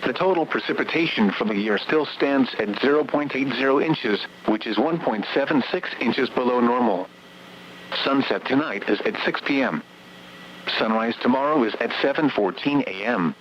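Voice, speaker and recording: A man's voice: mid-range voice, expressive, moderate pace. A synthetic male text-to-speech voice reading scripted weather bulletins as an automated radio announcer, impersonal and formulaic, in clipped segments. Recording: muffled, noisy weather radio broadcast.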